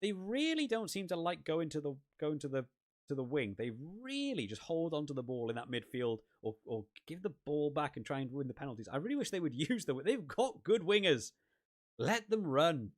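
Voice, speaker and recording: A man from the UK talks casually on a home live stream, reading and answering chat. He rambles and restarts his sentences often. His voice sounds worn and a little hoarse after a long session. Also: fairly high pitch, big pitch swings, normal speed. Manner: rambling, chatty, many restarts. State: voice wearing out, hoarse. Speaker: rugby gaming channel host.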